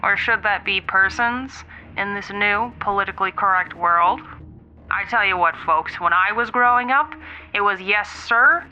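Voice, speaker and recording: A woman's voice: medium pitch, normal speed, sharp tone, muffled recording. A woman playing a chatty, old-fashioned radio host in an audio drama, talking straight to listeners in a folksy, grumbling way through a radio filter. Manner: folksy, addressing listeners directly. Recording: thin radio sound with faint music.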